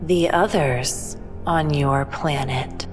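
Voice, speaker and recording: A serene alien woman, patient and all-knowing in a sci-fi audio drama. Her low voice moves slowly and deliberately, detached, wrapped in long reverb over music. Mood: serene, certain.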